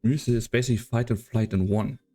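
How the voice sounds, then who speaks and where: Man as tactical leader, dark soft timbre, unhurried, understated, calm, game dub. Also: mid-range voice, faint music behind, lightly acted. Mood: calm, dry. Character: calm, laconic male tactical leader called Doctor. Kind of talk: scripted anime game dub acting.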